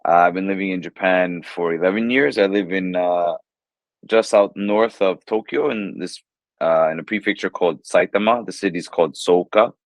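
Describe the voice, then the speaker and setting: A relaxed man in his thirties with a New York accent talks in a lowish voice, rambling easily and sprinkling "like" and "you know" everywhere. The sound is close and dry, with no room around it. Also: moderate pace, dry recording. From New York, USA. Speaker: English teacher, guest. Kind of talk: casual interview chat.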